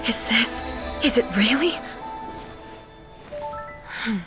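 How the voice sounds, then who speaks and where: A woman's voice: fairly high pitch, extremely slow, over music. A woman playing an earnest, stoic ruler goddess in a video game, speaking in a grave, thoughtful manner. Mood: stunned, wistful. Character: earnest, stoic, reflective female ruler deity.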